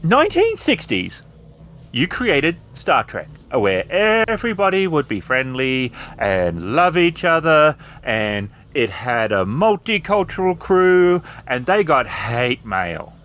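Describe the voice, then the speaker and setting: A man's voice: on the high side, big pitch swings, slow pace, a bit bright, heavily acted. A man playing a smug, misanthropic villain robot with a theatrical, taunting delivery. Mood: scornful, goading.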